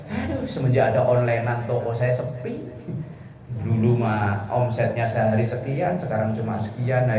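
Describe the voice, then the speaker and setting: A man preaching informally, explaining scripture phrase by phrase in a conversational, joking manner. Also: medium pitch. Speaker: Islamic preacher. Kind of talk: informal sermon explaining Quran verses. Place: echoey room with a listening congregation. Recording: echoey hall, distant mic, audience murmur.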